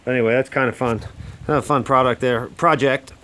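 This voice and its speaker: A man's voice: medium pitch, fairly even intonation, brisk, fairly bright tone, a little hiss or hum. Plain-spoken man touring a building site. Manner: plain-spoken, explanatory, with frequent fillers and restarts. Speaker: home builder. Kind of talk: casual site walkthrough. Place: outdoor construction site. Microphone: handheld phone camera.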